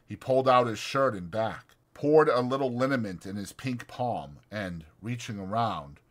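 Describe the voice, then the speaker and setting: A man's voice: medium pitch, normal speed. A man reading a novel aloud as dramatic, scripted audiobook narration.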